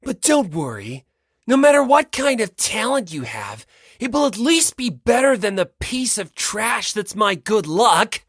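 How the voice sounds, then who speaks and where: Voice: medium pitch, very animated, unhurried, husky, no room sound, clean studio sound, voice pushed up. A reader voicing a self-deprecating, earnest teenage boy in an audiobook, politely and effusively apologetic. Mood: earnest, contrite. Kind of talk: dramatic audiobook character reading.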